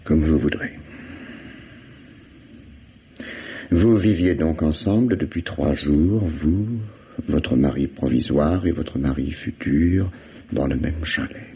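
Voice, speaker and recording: A man's voice: a bass voice, unhurried, warm tone. An actor playing a wry, insistent investigator in a vintage radio mystery, sardonic and falsely fatherly as he questions a suspect. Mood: sardonic, pressing. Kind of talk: 1950s French radio mystery acting. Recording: dull lo-fi old radio recording.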